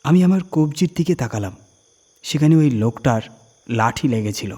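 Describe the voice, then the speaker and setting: A man with a husky voice, narrating a scary first-person story with suspenseful, deliberate phrasing and dramatic emphasis. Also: medium pitch, darkish tone.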